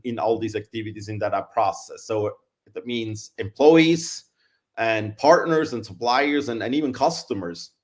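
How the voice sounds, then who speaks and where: A middle-aged man speaks at a steady middle pitch. He answers in a measured, thoughtful way, often pausing on fillers and restarting his sentences. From Switzerland. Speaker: guest, engineering consultant. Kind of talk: conversational interview answers.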